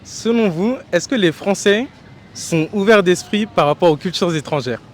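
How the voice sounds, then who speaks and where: A man's voice: high voice, normal speed, a little hiss or hum. A man hosting a street vox pop, asking passers-by short, upbeat questions outdoors. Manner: upbeat, brief prompting questions. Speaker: street interviewer.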